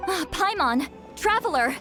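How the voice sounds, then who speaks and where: A woman voicing a youthful, self-assured shaman in a video game, brisk, wry and matter-of-fact. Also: very high voice, lively intonation. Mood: relieved, urgent. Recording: game music behind.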